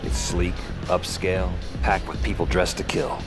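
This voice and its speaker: A man performs a hard-boiled, slightly boozy antihero in a low voice, telling his own story like modern noir audio fiction. He is sardonic and casual, tossing off muttered asides, with music clearly running behind him. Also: moderate pace, lightly acted. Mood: wry, bewildered. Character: wry, tipsy, hard-boiled male magic-world antihero. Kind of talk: first-person noir narration and dialogue, audio fiction.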